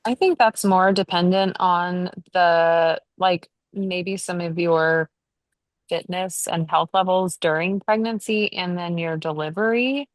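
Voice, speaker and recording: Podcast co-host, woman, forties, explains plainly; low pitch, dry sound. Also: slow pace, no room sound. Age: 40s. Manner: matter-of-fact, explains in detail. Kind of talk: casual chat.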